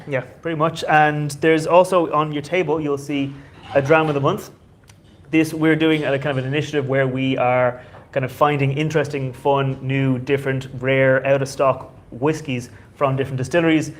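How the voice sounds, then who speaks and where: A man's voice: medium pitch, little pitch movement, moderate pace. A man hosting a whiskey society tasting night, chatty and loose, with many fillers.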